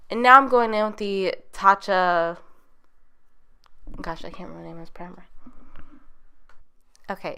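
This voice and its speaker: Chatty young woman, nasal, bright. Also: mid-range voice, clean tone. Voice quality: nasal from a cold. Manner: chatty, rambling, enthusiastic. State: getting over being sick. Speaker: beauty vlogger. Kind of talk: makeup tutorial voice-over.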